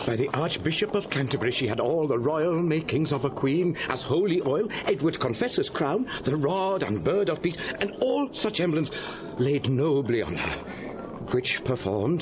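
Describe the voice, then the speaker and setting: An actor playing a sociable, gossipy gentleman eyewitness in a Shakespearean audio drama, with an animated, relishing delivery. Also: fairly high pitch, moderate pace. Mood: chatty, assured. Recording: dull audio with background noise.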